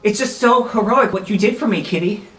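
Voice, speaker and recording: High school girl played by a male game master: high, gushing, polite, in a roomy game recording. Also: brisk, fairly bright tone. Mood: grateful, casual. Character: grateful high school girl named Amy.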